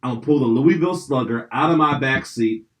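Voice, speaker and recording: A man's voice: medium pitch, fairly quick, low-quality recording. A young man from Pennsylvania hosting a podcast, telling rambling stories in casual, profane chat. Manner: profane, rambling, animated storytelling. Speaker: podcast host.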